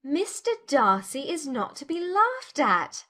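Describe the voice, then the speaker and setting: A woman playing a witty, spirited young Regency gentlewoman in a dramatized audiobook, teasing and articulate in formal speech. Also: high voice, sing-song, slow pace, heavily acted. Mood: amused, arch. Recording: music bed under the voice.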